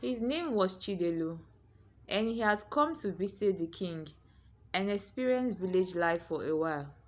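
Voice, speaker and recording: A woman storyteller narrating a scripted folktale in a dramatic, expressive way. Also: mid-range voice, normal speed.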